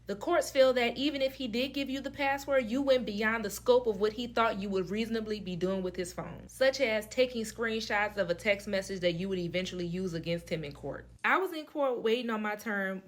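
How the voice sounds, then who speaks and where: A woman delivering a scripted explainer video with dramatic storytelling and emphatic, deliberate phrasing. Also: a little high. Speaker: legal explainer video creator.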